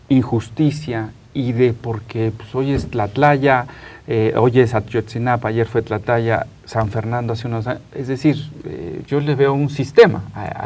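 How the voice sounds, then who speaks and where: Halting Mexican man hosting an interview. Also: mid-range voice, a little mellow. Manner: hesitant, halting, searching for words. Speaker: talk show host and interviewer. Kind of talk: conversational studio interview. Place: TV studio.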